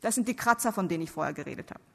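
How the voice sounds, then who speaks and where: A woman's voice: lowish voice, lively intonation, very fast, vocal fry, a bit bright. A woman who is a non-native German speaker preaches theology to an audience with emphatic rhetorical questions. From outside Germany. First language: not German. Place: large reverberant hall before seated audience. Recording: long reverb of a large hall.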